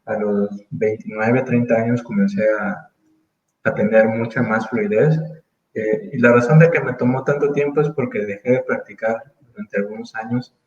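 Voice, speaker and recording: A man in his thirties from Mexico, speaking as an interview guest in casual conversation, thoughtful and full of pauses and restarts. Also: medium pitch, steady tone, darkish tone, reverberant, muffled recording. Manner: thoughtful, hesitant, many fillers and restarts.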